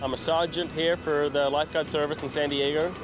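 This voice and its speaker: A man from New Zealand, a lifeguard sergeant, calmly explaining his work in a documentary interview. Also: on the high side, a little fast, music in the background.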